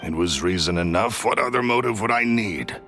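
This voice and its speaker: A bitter, jealous magician villain for a video game. His voice is gravelly and sharp, and his pitch swings widely between sneers and low, heavy resignation. Soft music plays underneath. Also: expressive, fairly quick. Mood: dismissive. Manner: scornful, self-pitying, dramatic swings in pitch. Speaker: villain confessing.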